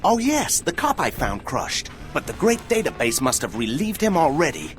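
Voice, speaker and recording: A man's voice: a little high, big pitch swings, normal speed. A man voicing an eager, glib young male robot reporter in a video game, inquisitive and bouncy. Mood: cocky, glib. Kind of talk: scripted game dialogue.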